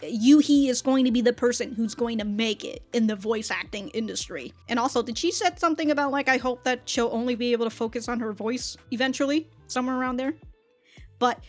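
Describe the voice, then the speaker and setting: A woman hosting a casual anime reaction and review, speaking in an animated, exclamatory way and often chuckling as she talks. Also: a little high, a little fast, faint music behind. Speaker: reaction video host.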